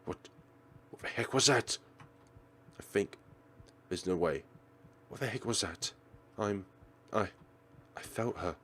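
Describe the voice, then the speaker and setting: A man narrating a visual novel aloud in an expressive, theatrical way, as a let's play host. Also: fairly low pitch, slow, pauses often, a little hiss or hum. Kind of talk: dramatic read-aloud narration.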